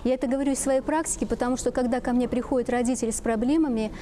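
A middle-aged woman explaining things as an expert guest on a studio talk show, often pausing to search for words. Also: on the high side, steady tone, mellow, slight background noise.

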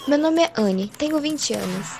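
Bubbly young female contestant played by a woman, highish and very animated, over music. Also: normal speed, creaky. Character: bouncy, always-happy twenty-year-old female reality contestant. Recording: prominent music behind the voice.

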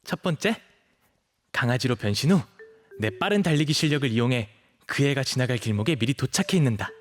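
A man's voice: medium pitch, expressive, a little fast, faint music behind, close to an everyday voice. A man acting as an eager, cutesy, pleading twenty-year-old dog shapeshifter in an audio drama, with a boyish manner. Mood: scheming, eager. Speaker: voice actor.

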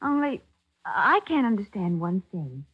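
A woman's voice: medium pitch, very animated, measured pace, breathy edge, heavily acted. A woman playing an inquisitive American assistant to a detective in a 1940s radio drama, attentive and prompting. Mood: curious, puzzled. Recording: muffled 1940s radio recording.

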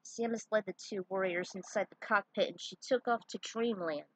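A woman with a husky voice narrating a story and dropping in casual asides. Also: mid-range voice, moderate pace, no room sound, poor audio. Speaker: fan fiction writer reading their own story.

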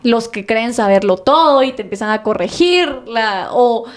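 A woman, a nutritionist talking casually on a podcast, animated and laughing often. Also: a little high, normal speed. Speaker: guest nutritionist.

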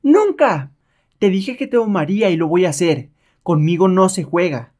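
A man voicing an imperious, hot-tempered noblewoman with a shrill, commanding manner in a comic-book reading. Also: expressive, moderate pace, a little mellow. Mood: furious. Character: imperious, hot-tempered Japanese widow, haughty slave mistress.

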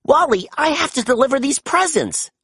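A storybook reader voicing an eager young rescue pup in an animated way. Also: sits high, moderate pace, no room sound, clean studio sound, pitched above the performer's own voice. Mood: urgent, friendly. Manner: animated, exclaiming. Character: eager young rescue pups, high and excitable. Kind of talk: children's storybook character reading.

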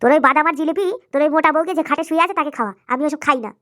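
High, pouting, demanding mistress played by a woman. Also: very fast, fairly bright tone. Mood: sulky, reproachful. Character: demanding, sulky, greedy mistress of an old man. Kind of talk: amateur comedy cartoon acting.

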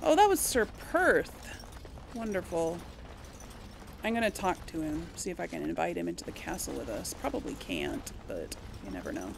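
A woman's voice: lowish voice, sing-song, normal speed, gravelly, background noise. A woman hosting a gaming video, giving casual commentary and role-playing along. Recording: game music under the voice. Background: game music.

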